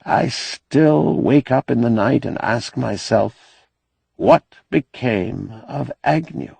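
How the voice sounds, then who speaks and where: A man's voice: mid-range voice, slow, gravelly, a little mellow, breathy edge, dry recording, pitched above the performer's own voice, full character voice. A man voicing an ageing, weary but commanding spaceship captain in an audiobook. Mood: troubled.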